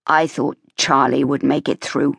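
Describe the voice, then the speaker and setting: A woman voicing a kind young male army officer who speaks in a gentle, caring way. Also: extremely low pitch, level tone, unhurried, vocal fry, husky, dry recording, clean studio sound, exaggerated voice.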